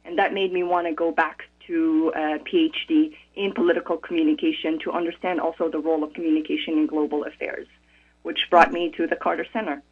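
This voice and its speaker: A woman from Morocco, a conflict resolution expert, speaking as a radio interview guest in a thoughtful, measured way with frequent pauses. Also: sits low, little pitch movement, husky. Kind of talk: radio interview over the phone. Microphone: telephone. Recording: tinny phone line.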